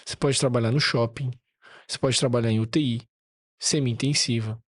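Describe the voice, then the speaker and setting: Husky guy hosting a podcast, chill and slangy, swears a bit. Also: mid-range voice, steady tone, fairly quick. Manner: laid-back, slangy, swears casually. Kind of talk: casual podcast conversation.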